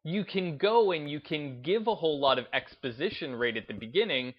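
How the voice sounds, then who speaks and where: A man giving off-the-cuff opinion commentary on a gaming channel, speaking in halting, emphatic short bursts. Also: a little high, lively intonation, muffled recording.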